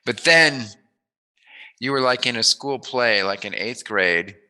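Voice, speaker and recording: A man's voice: mid-range voice, animated, measured pace, sharp tone, poor audio. A middle-aged man chatting casually as an interviewer, telling memories in a jokey, emphatic way. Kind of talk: casual reminiscing interview chat.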